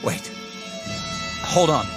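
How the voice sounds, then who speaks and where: An actor playing a shaken, conscience-stricken army lieutenant in a radio drama, speaking hesitantly and haltingly. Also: male voice, over music. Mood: dazed.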